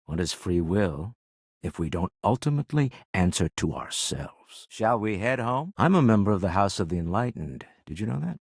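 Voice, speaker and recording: An actor playing a shrewd, wealthy older businessman in a video game, proud and wry, with a husky voice and a dry, articulate, refined delivery. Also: on the low side, very animated, moderate pace, no echo, full character voice. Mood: wry, musing. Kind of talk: video game character dialogue.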